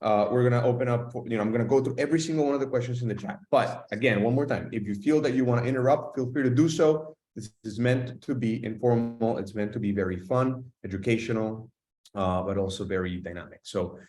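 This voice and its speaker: A man's voice: mid-range voice, fast, a little mellow. Webinar host man in an echoey room. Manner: welcoming, loose with fillers. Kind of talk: hosting an online webinar.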